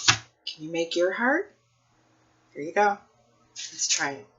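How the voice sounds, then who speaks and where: A woman hosts a storytime for young children and their families. Her voice is bright and forward, with an expressive, unhurried delivery. The recording is slightly dull. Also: lowish voice, a little hiss or hum. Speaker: children's librarian hosting storytime. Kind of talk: picture book read-aloud and talk to families.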